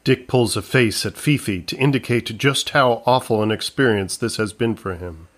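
A man narrating a scripted comedy in a measured, matter-of-fact way. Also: on the low side.

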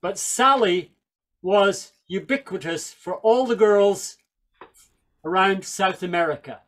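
A man's voice: high voice, slow, a bit bright. An older man from Northern Ireland, raised in England, giving a wry, chatty storytelling talk over a video call from a small room at home with a headset mic. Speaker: folk singer and speaker.

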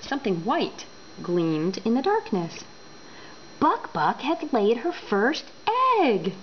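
A woman reading a children's book aloud indoors, expressive and deliberate. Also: fairly high pitch. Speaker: storyteller. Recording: dull home recording with background noise.

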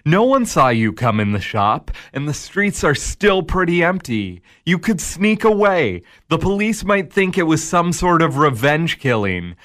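A slow, mocking Devil. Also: male voice, fairly high pitch, big pitch swings, fairly bright tone. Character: the Devil, smooth, amused male tempter. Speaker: narrator and tempter. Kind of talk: radio drama acting.

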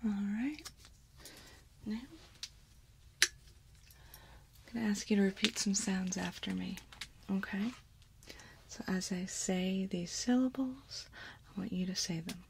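A woman in her thirties doing a soft-spoken, partly whispered ASMR exam role play, giving slow, gentle instructions close to the microphone. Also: medium pitch, a little hiss or hum. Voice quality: breathy, whispery. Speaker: ASMR performer playing an examiner.

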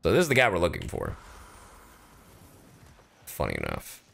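Animated, gravelly man livestreaming a game. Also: normal speed. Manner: animated, profane, swings between loud outbursts and low asides. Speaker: streamer and voice actor. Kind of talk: casual gaming livestream chat. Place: indoor livestream setup.

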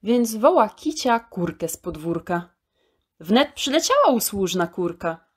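A woman storyteller reciting a children's poem in an expressive, theatrical way, drawing out key words. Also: mid-range voice, a little slow, slightly rough.

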